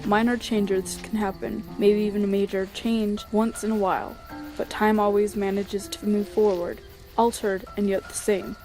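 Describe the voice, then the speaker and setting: A woman's voice: medium pitch, little pitch movement, moderate pace, darkish tone, soft background music, close to an everyday voice. Woman voicing a wry, world-weary skeleton monster. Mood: pensive. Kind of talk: animated comic dub acting.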